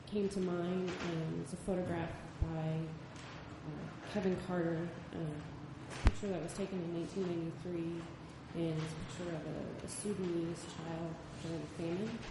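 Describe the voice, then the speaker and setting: Low soft-spoken woman on a panel, lots of ums, really echoey room with noise behind. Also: a little slow. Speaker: photojournalist on a panel. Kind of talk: panel discussion answer. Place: gallery event space. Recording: echoey room, far mic, background noise.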